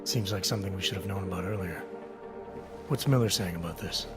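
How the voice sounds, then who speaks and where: Low male voice, calm terse game investigator acted by a man, measured clipped questions, music behind. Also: close to an everyday voice. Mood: guarded. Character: calm, terse, probing male Interpol agent. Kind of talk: video game cutscene acting.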